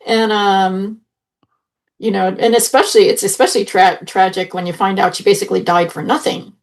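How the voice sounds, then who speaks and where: A woman's voice: medium pitch, fairly even intonation, moderate pace. A woman talking casually as a panelist on a review podcast.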